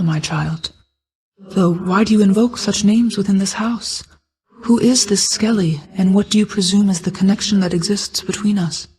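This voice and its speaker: A woman voicing a stately goddess of night in a video game, a solemn, guarded mother who speaks in a formal, measured, archaic manner. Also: lowish voice, a little mellow, lightly acted, poor audio. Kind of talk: scripted game character lines.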